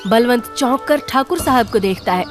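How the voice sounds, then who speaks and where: A woman's voice: fairly high pitch, lively intonation, normal speed. A woman reading scripted dramatic narration for a cartoon story. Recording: music under the voice.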